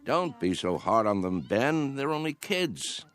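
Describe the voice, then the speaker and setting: An actor playing a gentle, good-natured old man with a soothing, folksy manner in a radio drama. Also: mid-range voice, sing-song, a little slow. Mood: gently chiding. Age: older.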